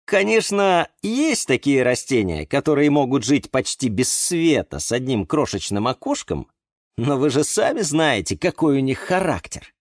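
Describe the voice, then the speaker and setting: Man acting an earnest, patient storybook fellow, raised pitch. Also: very animated, normal speed, no room sound, clean studio sound. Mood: reassuring. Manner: earnest, persuasive, sighing. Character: patient, earnest, kind man who talks with houseplants.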